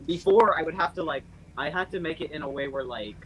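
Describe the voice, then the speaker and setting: A man's voice: fairly high pitch, a little fast, crowd noise, poor audio. A young man chatting casually over a call, rambling with lots of fillers and restarts. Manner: rambling, full of fillers and restarts, says his natural voice is quiet. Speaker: channel owner and voice actor.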